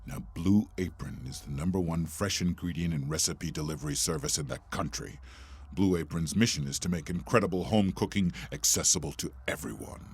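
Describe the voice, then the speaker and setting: A man hosts a podcast and reads a scripted sponsor message. His voice is deep and noticeably husky. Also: brisk. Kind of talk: scripted ad read.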